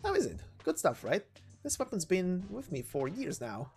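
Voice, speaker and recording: Guy doing a tough bitter lance knight from a game, blunt and halting, pitch all over. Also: wildly swooping pitch, gravelly, faint music behind, heavily acted. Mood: proud. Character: brash, battle-hardened lance knight, proud and bitter.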